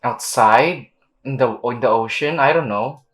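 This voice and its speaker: Slow-paced, slangy woman game streamer. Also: a bass voice. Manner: slangy, sweary, exasperated. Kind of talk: casual reaction commentary over gameplay.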